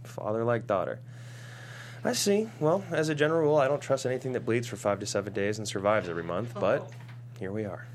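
A man's voice: lowish voice, lively intonation, fairly quick. Man playing a wry, cocky runaway rocker. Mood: sarcastic. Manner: wry, sardonic. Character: cocky, sarcastic runaway male rock musician. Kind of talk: table read acting.

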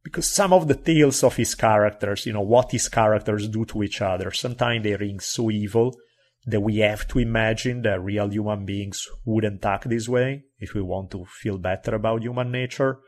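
Italian male podcast host, lowish voice, chatty and expressive. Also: moderate pace. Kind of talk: casual podcast chat.

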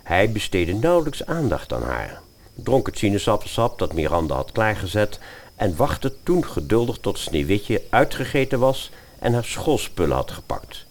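A man narrating a scripted story in an expressive storytelling style. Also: lowish voice, moderate pace.

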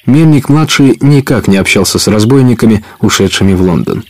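A man's voice: on the low side, expressive, fairly quick, a little mellow, no room sound. A man narrating an adventure audio play in a scripted storytelling style.

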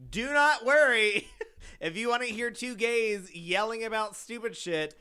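A man's voice: very high voice, a little slow, pushed and bright, clear, resonant tone. A man co-hosting a podcast, teasing and playful in casual banter, often laughing. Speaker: podcast co-host. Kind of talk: casual chat.

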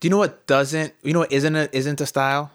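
A man's voice: on the high side, steady tone, a little fast, smooth. A man co-hosting a talk show in casual, opinionated banter, speaking bluntly with jokes and swearing.

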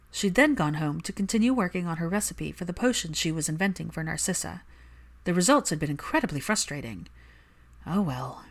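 A woman's voice: lowish voice, a bit bright. A woman reading fan fiction aloud as a scripted story narration.

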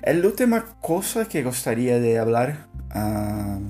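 A Brazilian Portuguese speaker learning Spanish, talking in a halting way, searching for words and laughing softly. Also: mid-range voice, big pitch swings, faint music behind. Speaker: language learner. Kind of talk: casual spoken practice monologue.

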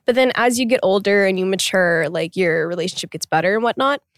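A woman's voice: medium pitch, dry recording, clean studio sound. A woman in her twenties co-hosting a podcast, chatting casually and teasing her co-host playfully. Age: 20s. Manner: playful, quick to laugh, lively teasing. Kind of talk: casual chat.

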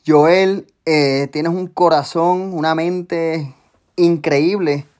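A man hosting a casual interview podcast, excitable and hyping up his guest. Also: a little high, very slow. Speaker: podcast host and coach.

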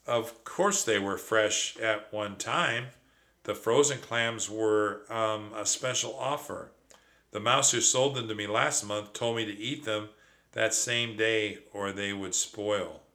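A man voicing a brash, greedy male mouse who is boastful, whiny and squeaky. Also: slow, exaggerated voice. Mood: sheepish. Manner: squeaky, loud, mocking.

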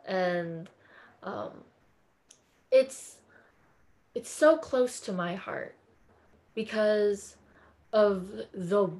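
A woman's voice: mid-range voice, drawn-out words, long pauses, darkish tone. A woman from California, a podcast guest talking in an effusive, earnest way in a casual interview chat.

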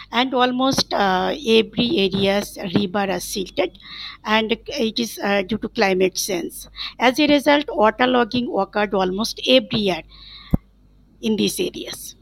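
Woman, lots of pauses and uhs, dry close sound. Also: mid-range voice, gravelly, no echo, poor audio. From Bangladesh. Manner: halting, many hesitations. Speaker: NGO project coordinator. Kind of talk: panel answers.

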